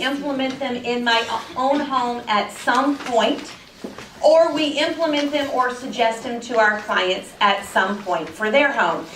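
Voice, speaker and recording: A woman's voice: a little high, a little hiss or hum. Woman, 40s, friendly and chatty, echoey room, distant mic. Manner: friendly, chatty, says she is naturally loud. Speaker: professional organizer giving a talk. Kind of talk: casual presentation to parents. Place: school event room.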